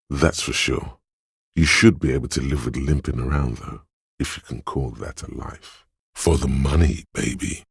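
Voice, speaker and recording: A man playing a slick, money-obsessed inner voice in a video game, a cajoling, flamboyant hype man with streetwise swagger and a gravelly delivery. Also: dry recording, studio quality, full character voice. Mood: wry, taunting, then brash, goading. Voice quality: gravelly, very deep. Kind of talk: theatrical video game character monologue.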